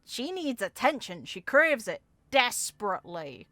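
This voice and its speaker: Casting: female game content creator, casual musing commentary, mid-pitched and bright, very dry sound. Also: big pitch swings, measured pace, no echo. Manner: informal, musing aloud.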